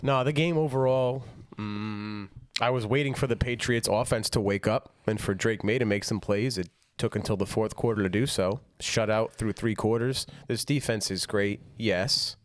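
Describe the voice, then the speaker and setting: A man's voice: on the low side, normal speed, dry recording. A man co-hosting a casual sports podcast who talks in a dry, matter-of-fact way as the straight man. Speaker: podcast co-host.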